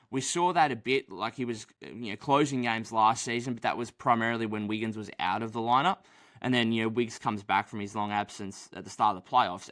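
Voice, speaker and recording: A man's voice: fairly low pitch, a bit bright. A young man co-hosting a casual sports podcast, rambling with many fillers and restarts, his voice dry this early in the morning. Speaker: podcast co-host.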